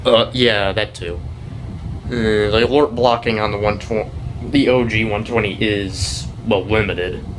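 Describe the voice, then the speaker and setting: A young American man giving a casual, off-the-cuff show-and-tell, talking in a halting, rambling way and often correcting himself. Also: lowish voice, steady tone. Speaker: hobbyist video creator. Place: indoors in a house. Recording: noisy room with air-conditioner hum.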